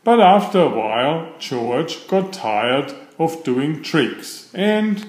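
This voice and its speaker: A man reading a children's story aloud in a deliberate, expressive storytelling manner, with a roomy sound. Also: fairly high pitch. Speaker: storybook narrator. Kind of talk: children's read-aloud narration.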